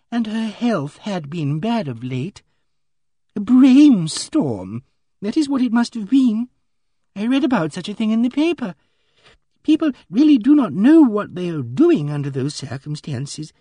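A timid elderly spinster lady, as a male reader puts her on, high and wildly swooping, with a soft, mellow, breathy tone. She talks in a prim, fluttering, gently reproachful way, recorded close and dry. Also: no echo, exaggerated voice. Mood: fretful. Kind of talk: audiobook character voice.

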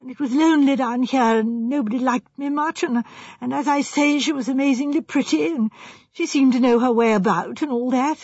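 A woman's voice: sits high, a little fast, a little mellow, breathy edge, no echo, highs cut off, pitched above the performer's own voice. A woman voicing a distraught young male architect in an audiobook, with a stammering, pleading, ladylike way of speaking. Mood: wretched.

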